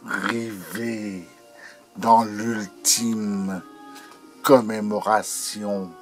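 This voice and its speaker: A man reciting his own poem in a solemn, declamatory manner, in short phrases with long pauses. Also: fairly low pitch, fairly even intonation. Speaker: poet reading own poem. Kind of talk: dramatic poetry recitation over music. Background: music.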